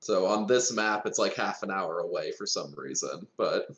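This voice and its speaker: Low-voiced man in an echoey room. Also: moderate pace, fairly bright tone. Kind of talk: casual game chat.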